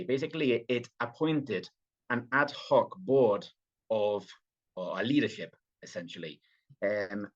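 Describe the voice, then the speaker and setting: A man's voice: lowish voice, muffled recording. A British man with a slight Venezuelan tinge in his accent, talking as a guest on a podcast. He speaks fluently but with many fillers and restarts. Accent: British, with some Venezuelan influence. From Britain. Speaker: guest, party official. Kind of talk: remote podcast interview.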